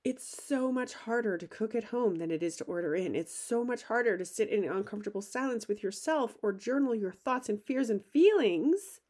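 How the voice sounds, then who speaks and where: An enthusiastic woman coach talks through her own podcast episode, her voice roughened slightly by a cold. Her pitch swings widely as she chats, casual and encouraging. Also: animated, moderate pace, hardly any pauses, dark tone. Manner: upbeat, enthusiastic, conversational. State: has a cold, slightly gravelly. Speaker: podcast host and life coach. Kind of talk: solo podcast monologue.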